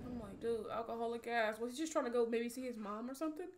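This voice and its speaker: A young woman giving casual, excitable movie reaction commentary full of slang and swearing. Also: fairly high pitch, fast. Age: young adult. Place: small bedroom studio, indoors. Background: film soundtrack music.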